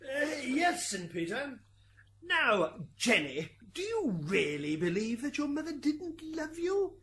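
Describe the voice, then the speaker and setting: High, stammering defence lawyer played by a woman. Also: very animated, slow pace, a bit bright, exaggerated voice. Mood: probing. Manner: stammering, pointed courtroom questioning. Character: fussy male defence lawyer in afterlife court. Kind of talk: amateur radio drama acting. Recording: hissy amateur recording, background noise.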